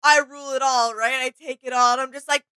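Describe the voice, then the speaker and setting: A woman's voice: high voice, steady tone, quick pace, bright, forceful tone, clear, resonant tone, dry recording. A woman co-hosting a reaction video in informal, agreeable casual chat.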